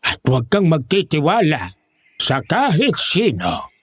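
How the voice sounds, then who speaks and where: An actor playing the grave, commanding leader of a secret band of monster hunters in a horror audio drama, giving instructions. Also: male voice, fairly high pitch, huge pitch swings, a little slow, fairly bright tone, slightly rough, dry recording, full character voice.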